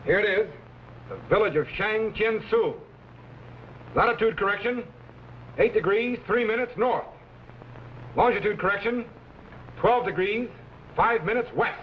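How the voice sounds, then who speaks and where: A man acting a commanding time-travelling captain in an old sci-fi serial, giving clipped, steady orders on a dated recording. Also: high-pitched, unhurried, pauses often, a little hiss or hum. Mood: steady, urgent. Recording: dull old broadcast recording with loud music.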